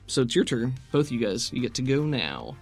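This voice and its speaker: A man with a mid-pitched voice works as game master for a tabletop role-playing game. He talks casually and describes the action in detail, with music playing behind him. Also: sing-song, moderate pace, a little mellow. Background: background music.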